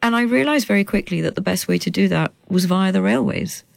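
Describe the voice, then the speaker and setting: Animated woman guest. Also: brisk, gravelly, no echo, clean studio sound. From United Kingdom. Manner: animated, bright laughter, lively pitch swings. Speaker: journalist and author guest. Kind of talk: conversational interview.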